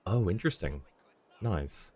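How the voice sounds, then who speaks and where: A man's voice: deep voice, lively intonation, unhurried, a little mellow, husky. A man live-streaming a video game, chatting casually with viewers as he plays. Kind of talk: casual chat while playing a game for viewers.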